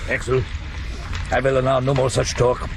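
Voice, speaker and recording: An actor playing a bombastic, impatient older professor in a radio drama, with a commanding, exclamatory delivery. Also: male voice, medium pitch, monotone, normal speed, close to an everyday voice. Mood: gruff, commanding. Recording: noisy 1990s radio broadcast with effects.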